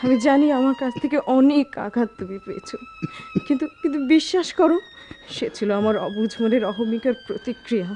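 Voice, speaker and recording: A woman's voice: high voice, sing-song, brisk, mellow, a little hiss or hum. An actress playing a remorseful woman pleading with her beloved in a radio drama, in an impassioned, melodramatic manner. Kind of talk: Bengali radio drama acting.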